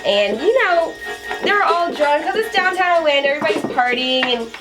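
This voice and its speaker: A woman's voice: high-pitched, expressive, fairly quick, poor audio. A chatty woman around twenty, born in Japan, a tattoo artist answering interview questions casually and laughing often. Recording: loud background music. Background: loud music.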